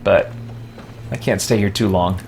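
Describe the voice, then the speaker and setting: A man's voice: lowish voice, a little slow, creaky, darkish tone, faint music behind. A man hosting a let's play, chatting casually in a rambling, reflective way while he plays. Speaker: gaming channel host.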